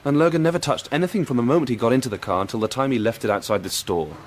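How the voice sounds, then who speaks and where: A man's voice: medium pitch, racing, a little hiss or hum, lightly acted. A man playing a nervous, earnest young police constable in a British radio crime drama, hesitant and deferential. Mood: anxious, confiding.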